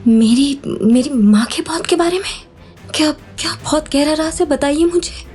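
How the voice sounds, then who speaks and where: Woman playing an anxious high-voiced young niece. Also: moderate pace, a little mellow, soft background music, close to an everyday voice. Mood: urgent, shocked. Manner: urgent, repeating pleas and reassurances. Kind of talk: Hindi audio drama acting.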